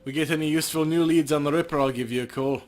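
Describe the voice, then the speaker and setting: A man's voice: a little high, steady tone, rapid-fire, lightly acted. A man voicing a sleazy, smug corrupt police officer in a video game, wheedling for bribes.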